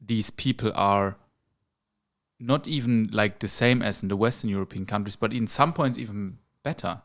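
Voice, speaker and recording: A man's voice: on the low side, normal speed, a bit bright. A man from Germany, a podcast host chatting casually in English, agreeing and phrasing things loosely.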